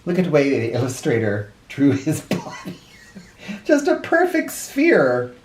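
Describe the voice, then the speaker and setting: A man's voice: on the high side, wildly swooping pitch, a little slow. A man reading a children's storybook aloud in a theatrical, playful way. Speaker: children's storybook reader, host. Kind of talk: animated read-aloud narration with asides. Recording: roomy echo.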